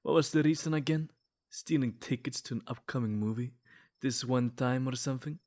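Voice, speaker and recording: A man's voice: mid-range voice, brisk, a little mellow, close to an everyday voice. A man voicing a jealous, possessive vampire in a comedic ASMR roleplay, theatrical and snide, given to flirty bickering. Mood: mocking, flirtatious.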